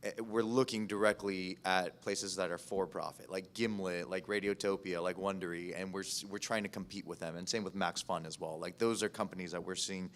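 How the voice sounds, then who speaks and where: Deep, smooth-voiced American man. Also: steady tone, fairly quick, hardly any pauses, fairly bright tone. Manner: informal, conversational. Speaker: podcast producer and panelist. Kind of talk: casual panel discussion.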